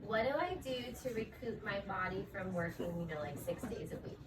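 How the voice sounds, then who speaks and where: A chatty woman dancer answers interview questions easily and casually, leaning on "like" and "um" as she goes. She sits back from the microphone, so her voice sounds a little distant. A steady hum of room noise runs behind her. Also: mid-range voice, brisk. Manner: chatty, frequent fillers like "like" and "um".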